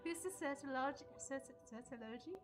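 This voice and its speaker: Casting: female live streamer, high voice, expressive casual game reactions over clear background music. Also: fast talker. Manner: expressive, exclamatory.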